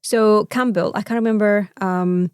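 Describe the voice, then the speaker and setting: A woman's voice: mid-range voice, fairly even intonation, measured pace, darkish tone, smooth, dry recording. Mexican woman chatting in a dry-sounding space. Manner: informal, rambling, sweary. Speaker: podcast co-host. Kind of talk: casual chat.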